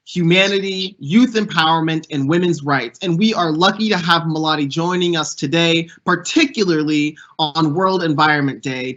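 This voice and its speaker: Rich: A young man with a bright, fairly high voice speaks with earnest purpose and lifts his pitch on each item of a list. The sound is dull and lo-fi, typical of audio from a video call. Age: young adult. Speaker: youth program fellow hosting a panel. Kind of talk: prepared speech and interview.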